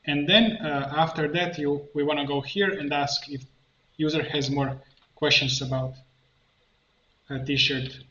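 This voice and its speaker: Slow, halting man teaching software, roomy sound. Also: a little high, steady tone. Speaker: tutorial instructor, coach. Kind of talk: step-by-step software tutorial narration. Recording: muffled, roomy, faint noise, keyboard clicks. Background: computer keyboard typing and clicking.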